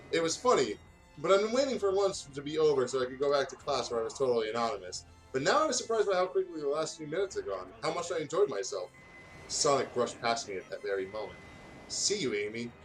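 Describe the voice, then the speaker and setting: A man's voice: mid-range voice, big pitch swings, fast talker, low-quality recording. Guy hosting a let's play, reads fan fiction n chats casually, bit of music under. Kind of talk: reading fan fiction aloud with casual banter.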